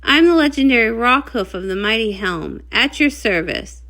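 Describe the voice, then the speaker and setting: A woman's voice: a little high, animated, moderate pace, sharp tone. A woman voicing a hearty, bold male warrior pony in a comic read-along. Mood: proud.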